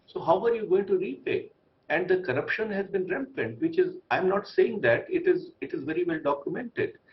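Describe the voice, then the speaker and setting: A man comments as an expert on a news debate. His voice sits a little high and he speaks in halting, deliberate phrases. The sound is slightly muffled. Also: expressive. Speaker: TV debate guest, former ambassador.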